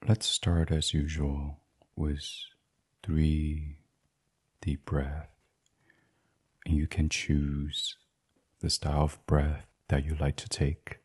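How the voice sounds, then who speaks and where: A man gently and soothingly reading a guided sleep meditation in a breathy, husky voice, as the host. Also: very deep voice, monotone, slow, long pauses.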